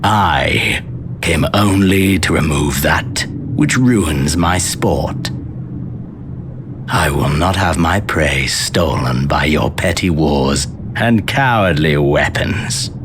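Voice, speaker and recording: A deep, slow, arrogant villain prince. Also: male voice, expressive, noisy background, heavily acted. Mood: cold disdain. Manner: haughty, archaic, deliberate speech. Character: cold arrogant prince, bloodthirsty hunter disdainful of empire. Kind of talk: theatrical video game cutscene acting. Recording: loud music behind the voice. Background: loud cutscene music.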